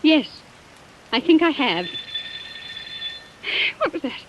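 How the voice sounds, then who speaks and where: A desperate cornered heroine, vintage radio. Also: female voice, high voice, big pitch swings, slow pace, muffled recording, full character voice. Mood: desperate, defiant. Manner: earnest, dramatic. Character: desperate, proud young woman cornered by blackmail. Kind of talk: 1940s radio acting. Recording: hissy old radio recording, rain sounds.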